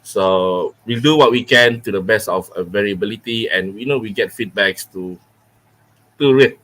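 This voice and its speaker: A man from Malaysia hosting a live interview, nervous, talking quickly and laughing often. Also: fairly low pitch, a bit bright. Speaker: host of a vaping channel live stream. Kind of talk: casual live-stream interview.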